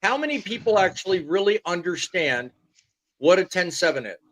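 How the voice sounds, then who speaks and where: A man hosting a live casual panel chat, a former truck driver telling stories with animated delivery. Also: high voice, normal speed, a little hiss or hum, rough recording.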